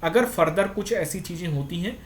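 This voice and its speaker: Male explainer, slightly high register, conversational student-facing delivery, light background noise. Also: lively intonation, brisk. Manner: conversational, repeats tag words to check understanding. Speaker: YouTube exam-update presenter. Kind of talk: informal explainer addressed to students.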